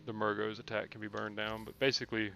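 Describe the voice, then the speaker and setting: A man's voice: on the low side, completely flat delivery, quick pace, sharp tone, soft background music. A man giving casual commentary on his own video game playthrough, often muttering asides to himself. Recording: clearly noisy background.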